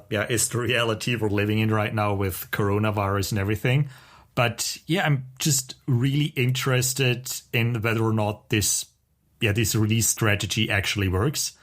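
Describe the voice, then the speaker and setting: A man's voice: fairly low pitch, a bit bright. A man co-hosting a podcast in casual conversation, with an opinionated, wry way of talking. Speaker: podcast co-host.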